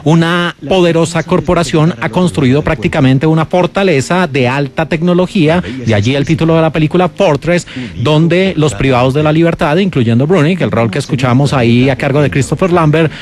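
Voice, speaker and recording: A man's voice: fairly high pitch, brisk. A man with a Colombian accent presenting a film countdown on radio in an explanatory, emphatic way. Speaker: radio film critic and host.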